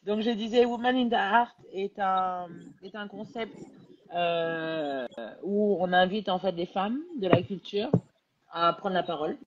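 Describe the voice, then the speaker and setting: A woman, congested and nasal from a cold, hosting a live-stream interview in a relaxed, chatty way with many hesitations. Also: mid-range voice, unhurried, lo-fi sound, a little hiss or hum. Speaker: interview host.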